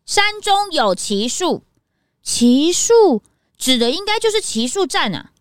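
A woman voicing a curious, sharp young detective's sidekick with a childlike manner in a children's audio drama. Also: high voice, very animated, slow pace, no room sound, clean studio sound, heavily acted. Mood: inquisitive.

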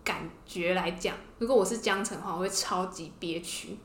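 A woman's voice: fairly low pitch, moderate pace, fairly bright tone. A woman with a Taiwanese Mandarin accent hosting a podcast, telling and explaining a story in a casual way. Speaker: podcast host. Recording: roomy, echoing podcast room.

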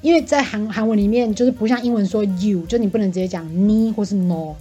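A woman's voice: mid-range voice, fast, dark tone, faint music behind. A talkative woman with a Taiwanese Mandarin accent, venting in casual, exclamatory chat as a podcast co-host.

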